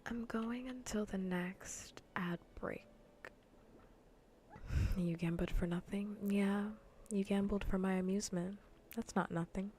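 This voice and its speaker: A woman livestream host speaking softly in a breathy, often whispered ASMR style, teasing and playful, close to the microphone. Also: mid-range voice, fairly even intonation, slight background noise. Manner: teasing, playful, slow and repetitive. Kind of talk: soft-spoken ASMR gaming livestream chat.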